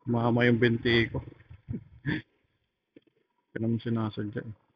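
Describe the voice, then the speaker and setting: A young Filipino man talks in an ordinary mid-range voice, rambling casually to his viewers as he rides. His speech is slangy, as if thinking out loud. The helmet intercom makes the sound slightly dull, with light road noise underneath. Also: mid-range voice, completely flat delivery, unhurried. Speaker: motorcycle vlogger. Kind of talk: casual motovlog chat while riding. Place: outdoors on a motorcycle in traffic. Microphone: helmet intercom microphone.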